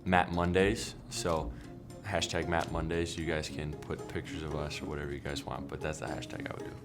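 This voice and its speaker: A young man, a college basketball player, casually answering fan questions in a laid-back, deadpan way over music. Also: very deep voice, normal speed. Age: young adult. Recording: music behind the voice.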